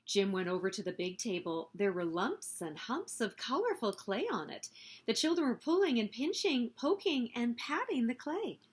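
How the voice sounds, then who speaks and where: A woman hosting a story time, reading a picture book aloud in an expressive, animated way meant for young children. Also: a little high, moderate pace, husky.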